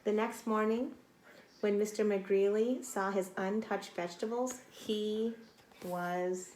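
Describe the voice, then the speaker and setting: A woman in her thirties or forties reads a children's storybook expressively, with deliberate dramatic emphasis, speaking directly to young listeners. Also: mid-range voice, fairly even intonation, darkish tone. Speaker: teacher reading a picture book aloud to children. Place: small indoor room. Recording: roomy echo, faint noise.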